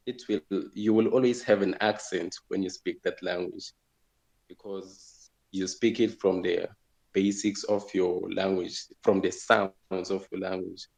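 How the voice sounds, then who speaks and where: Low gravelly man from South Africa. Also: a little mellow, low-quality recording. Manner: thoughtful, repeats phrases while thinking. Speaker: guest. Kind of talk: relaxed conversational interview answers.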